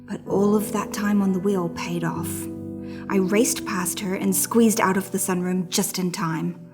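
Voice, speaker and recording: A woman's voice: mid-range voice, steady tone, unhurried, music in the background, close to an everyday voice. A woman voicing a dramatic, anxious little male hamster telling his diary in a children's storybook. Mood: doomed, weary. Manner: melodramatic storytelling with hushed suspense and emphatic exclamations.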